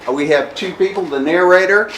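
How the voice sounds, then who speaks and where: Higher-voiced man speaking in an echoey room. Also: a little fast, a bit bright. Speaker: co-presenter introducing a staged reading. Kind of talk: informal pre-show remarks to an audience. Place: indoor arts venue, before a live audience. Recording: echoey, distant live-event recording with faint noise.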